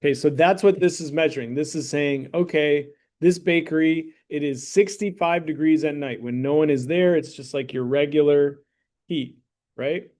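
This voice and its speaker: A man teaching math to a high school class, talking through problems in a casual, joking way and checking in with the students as he goes. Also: normal speed, darkish tone. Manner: joking, conversational, checks in with "right?" and "okay?". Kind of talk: classroom lesson with a mock sponsor plug.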